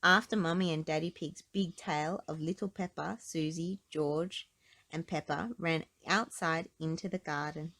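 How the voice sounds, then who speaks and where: A woman reading a storybook aloud to children in an expressive narrating style. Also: low voice, moderate pace. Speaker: storybook reader.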